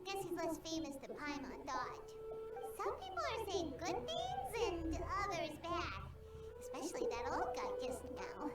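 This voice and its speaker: A woman voicing a small, childlike fairy companion in an anime game, squeaky, chatty and earnest. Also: huge pitch swings, measured pace, heavily acted. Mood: musing. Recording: roomy game audio under loud music.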